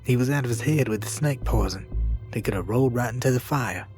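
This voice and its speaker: An actor playing an anxious outlaw in a horror western audio drama, urgently reasoning and pleading. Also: male voice, mid-range voice, a little fast, a little mellow, faint music behind, close to an everyday voice. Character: anxious outlaw defending himself, uneasy and pleading.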